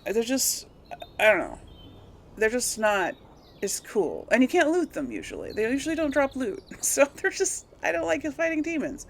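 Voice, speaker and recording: A woman's voice: high-pitched, sing-song, normal speed, creaky. Woman rambling through game commentary, background noise. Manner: rambling, thinking aloud. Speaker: let's play host. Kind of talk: casual gameplay commentary.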